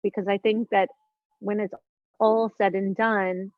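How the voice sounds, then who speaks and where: A woman's voice: medium pitch, level tone, a little slow, dry recording. A woman of fifty in a casual spiritual conversation as a guest, earnest and searching, circling back over her words as she thinks aloud. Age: 50s. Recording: dull lo-fi dry recording.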